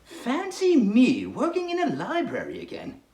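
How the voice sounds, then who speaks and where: A woman acts a smooth, ingratiating young man in a radio-play reading. The voice is high and swoops widely, in an echoey room. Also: wildly swooping pitch, a little slow, mellow, full character voice. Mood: teasing. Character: smooth, ingratiating young male library newcomer.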